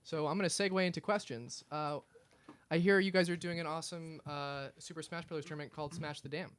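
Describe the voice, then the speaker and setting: Fast-talking, higher-voiced young man hosting. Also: steady tone, fairly bright tone, clean tone. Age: young adult. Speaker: radio show host. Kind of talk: casual, unscripted interviewing.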